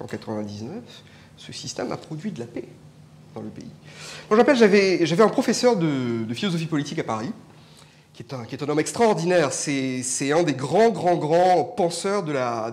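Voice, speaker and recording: A man, a political scientist and politician from Mauritius, arguing his point emphatically in a live panel debate. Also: a little high. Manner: argumentative, animated, emphatic. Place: indoor public event.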